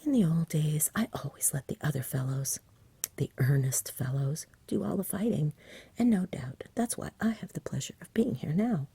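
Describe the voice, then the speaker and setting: A woman's voice: deep voice, expressive, moderate pace, gravelly, dark tone, slightly rough, no room sound, heavily acted. A woman voicing a lazy, fussy, polite dragon in a whispered ASMR storybook reading. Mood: solemn, firm. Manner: languid, polite, mildly plaintive. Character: lazy, genteel, fussy dragon who refuses to fight.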